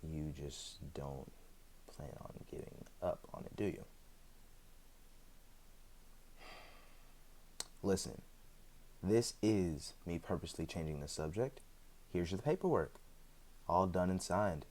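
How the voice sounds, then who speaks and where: Very deep-voiced, chatty immortal played by a man. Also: expressive, unhurried, darkish tone. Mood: evasive, sheepish. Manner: conversational, hesitant, with frequent chuckles and dry humor. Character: chatty, sheepish, evasive immortal man, freshly back from death. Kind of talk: ASMR roleplay audio drama, one side of a conversation.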